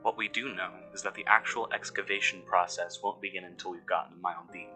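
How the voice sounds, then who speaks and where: A man acting as an uneasy, cynical excavation worker, recording a fictional audio log in measured, brooding, scripted narration with pauses mid-sentence. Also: deep voice, steady tone, pushed and bright, soft background music, low-quality recording. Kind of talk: scripted first-person mystery narration.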